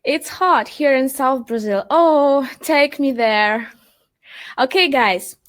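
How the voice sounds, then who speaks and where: Upbeat high-voiced young woman hosting a webinar. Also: takes it slowly. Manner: upbeat and chatty, greets viewers by name. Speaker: webinar host, marketing manager. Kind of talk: live webinar presentation, reading chat greetings.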